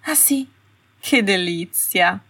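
A woman voicing a haughty, sarcastic young witch in an audiobook, with a small, brittle, high-strung voice. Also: expressive, slow pace, fairly bright tone, husky, heavily acted. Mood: manic, sarcastic. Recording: roomy, slightly distant home recording.

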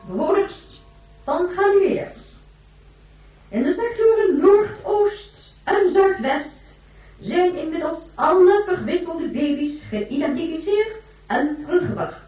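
A space-colony announcer in a radio drama, heard from far off through heavy echo. Her high voice swoops in lively fashion through formal official bulletins. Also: takes it slowly, faint music behind, full character voice. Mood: formal, emphatic. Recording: echoing, distant public-address sound.

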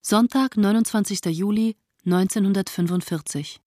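Schauspielerin als erschütterte Tagebuchschreiberin, knappe Sätze. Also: female voice, medium pitch, normal speed, warm tone, close to an everyday voice. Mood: intense, shaken. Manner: terse, fragmentary sentences, intense. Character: shaken woman writing diary of friend's return from camp. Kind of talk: literary radio drama, diary narration and dialogue.

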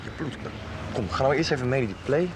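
A practical, sceptical man played by an actor in a radio soap. His matter-of-fact voice moves freely in pitch over clearly noisy ambience. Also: lively intonation, fast talker, lightly acted. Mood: concerned, practical. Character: practical, sceptical male traveller helping a stranger. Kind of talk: 1990s radio drama acting.

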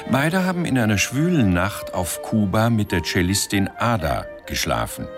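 An actor playing a deliberate, dramatic storyteller, narrating the recap of a German radio drama. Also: male voice, lowish voice, very animated. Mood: solemn. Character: male storyteller, deep and deliberate, recapping a saga. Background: violin music.

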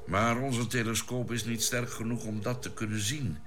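An actor playing a thoughtful ship's doctor and scientist in a Dutch science-fiction radio drama, explaining things deliberately. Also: male voice, mid-range voice, fairly even intonation, music in the background, close to an everyday voice. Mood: thoughtful, explanatory. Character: measured, thoughtful male scientist-doctor aboard a spaceship. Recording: noisy old radio drama recording.

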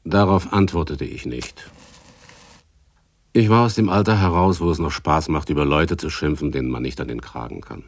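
An actor playing a world-weary, wry private detective, narrating and acting in a hard-boiled radio drama with laconic, dry delivery. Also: male voice, a bass voice, normal speed. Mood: matter-of-fact, reflective. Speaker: narrator and lead character.

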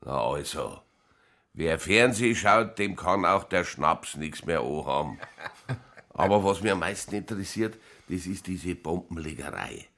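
A deep-voiced Bavarian man in a radio crime drama. He is a middle-aged pub regular who talks in broad dialect, dry and sceptical, always probing and needling. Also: lively intonation, unhurried, pushed and bright, full character voice. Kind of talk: naturalistic German radio crime drama acting.

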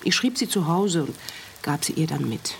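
A woman's voice: sits low, animated, normal speed, gravelly, a little hiss or hum. An actress playing a guarded, hesitant young woman with something to hide in a radio play. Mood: subdued, resigned. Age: young adult. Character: guarded young woman, a runaway thief confronted by detective.

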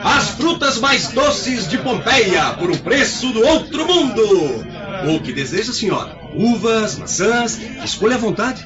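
An actor playing a pushy, eager fruit vendor in a radio drama, with sing-song sales patter. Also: male voice, high-pitched, very animated, measured pace. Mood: hawking wares. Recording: dull lo-fi radio drama audio, music behind.

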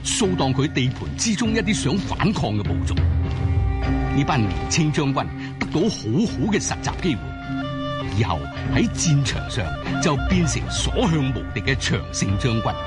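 A man's voice: mid-range voice, sing-song, measured pace. A man with a Cantonese accent narrating a scripted historical tale as a dramatic storyteller. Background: prominent music.

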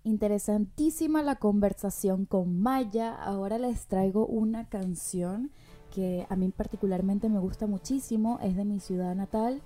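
A woman's voice: medium pitch, measured pace, mellow, soft background music. A woman with a Venezuelan accent hosting a show in a conversational interview style. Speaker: radio show host.

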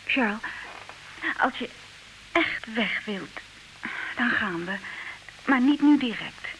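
Evasive coaxing wife, high voice, swooping intonation, theatrical old radio play, hissy. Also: female voice, lively intonation, slow pace, fairly bright tone, full character voice, poor audio. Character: coaxing, evasive wife, affectionate but dismissive. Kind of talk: old Dutch radio drama acting, theatrical.

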